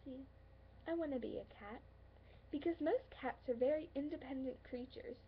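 Actress as insecure, wounded young woman in love, stage monologue; high creaky voice, earnest, halting. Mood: reflective, wounded. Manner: earnest, pleading, halting with pauses. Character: hurt, insecure, lovelorn young woman confronting her friend. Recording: dull lo-fi, faint background noise.

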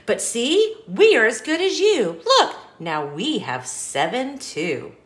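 A playful, bragging storybook animal, voiced by a woman reading aloud. The voice sits high and leaps around in excited singsong. It is showy and competitive, drawing words out slowly for effect. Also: reverberant, full character voice. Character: boastful, playful cartoon animals showing off balancing tricks.

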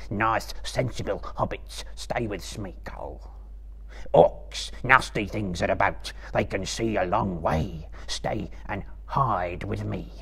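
A man voicing a wretched, skinny, malicious cave creature with a raspy, hissing, whining voice in a live story reading. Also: fairly low pitch, bright, forceful tone, exaggerated voice. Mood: wary, coaxing. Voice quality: raspy, creaky, hissing whisper. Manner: whining, drawn-out, self-pitying muttering.

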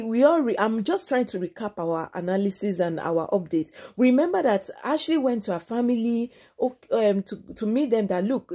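A woman's voice: on the high side, mellow, breathy edge. A woman with a Nigerian accent giving informal celebrity gossip commentary as an online channel host, mixing English and Yoruba. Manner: switches between English and Yoruba.

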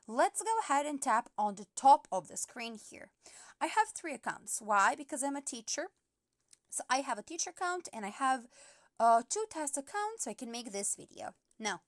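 A woman's voice: high-pitched, dry recording, studio quality. A woman teacher patiently walking parents through an app step by step, with frequent fillers. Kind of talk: app tutorial screen recording.

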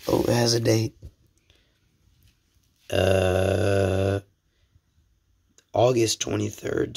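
Young man, low gravelly voice, slow, a bit sick, rambling and whispery, phone mic at home. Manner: rambling, self-deprecating, drops to whispers. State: sick, voice slightly off. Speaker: channel host. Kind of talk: casual show-and-tell vlog.

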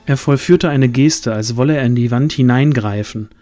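A man's voice: medium pitch, normal speed, dark tone. A man narrating a scripted fantasy audio drama in a dramatic storytelling manner.

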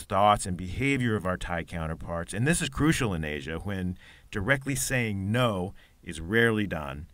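A man hosting a podcast, reading a measured, scripted show introduction into a close mic. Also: lowish voice, pushed and bright, no room sound. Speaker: podcast host.

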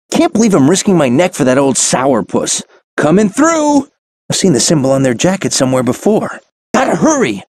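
An actor playing a wisecracking, earnest young superhero in a video game, with a boyish, jokey and exclamatory delivery. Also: male voice, mid-range voice, darkish tone, husky, no room sound. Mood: urgent, worried. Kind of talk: animated video game voice acting, quips and tutorial hints.

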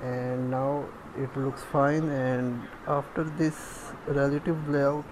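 Male tutorial instructor, halting and monotone, working through steps aloud on a noisy home-made recording. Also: mid-range voice. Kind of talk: step-by-step screen tutorial, thinking aloud.

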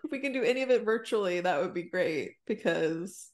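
A woman's voice: mid-range voice, expressive, a little fast. A woman in her thirties chatting casually as a podcast co-host, retelling conversations in quoted back-and-forth. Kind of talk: casual chat. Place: indoor room on a video call.

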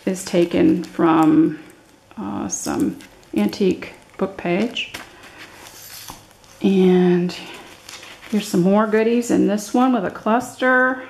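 An older woman giving a casual, unscripted show-and-tell as a craft vlogger, halting and thinking aloud as she goes. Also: mid-range voice, creaky, darkish tone, a little hiss or hum. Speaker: craft vlogger showing her handmade journals. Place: indoors at a table, hands-only overhead camera.

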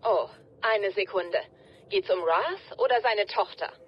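A woman voicing a sharp, caring tech support ally speaking over a radio link in a game dub. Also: medium pitch, measured pace, breathy edge, lo-fi sound. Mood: concerned.

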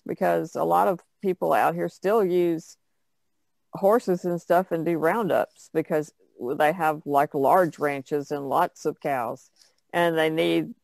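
Low-key gravelly woman chatting casually. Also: steady tone, slow pace, darkish tone, dry recording. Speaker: artist guest. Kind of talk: casual chat.

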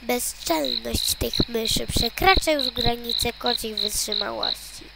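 A man voicing storybook cats, a grumpy hunter and an eager kitten, in a drawn-out theatrical way. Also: extremely high pitch, vocal fry, heavy background noise, pitched above the performer's own voice, exaggerated voice. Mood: indignant, boastful.

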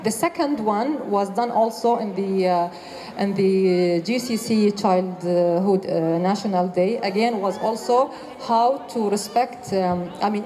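A woman's voice: medium pitch, warm tone. A woman on a panel answering a question at length, with many fillers and restarts, speaking into a handheld mic on a hall stage. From Qatar. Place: stage of a large indoor hall.

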